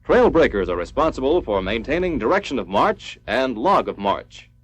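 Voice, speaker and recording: A man's voice: mid-range voice, very animated, normal speed, breathy edge, poor audio. An American man reading scripted military training film narration in a clipped, instructional manner. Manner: instructional, clipped declarative delivery.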